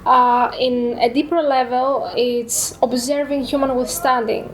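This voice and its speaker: A woman's voice: sits high, slight background noise, low-quality recording. A teenage girl from Greece in a student podcast, speaking in an earnest, reflective way. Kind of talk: podcast discussion.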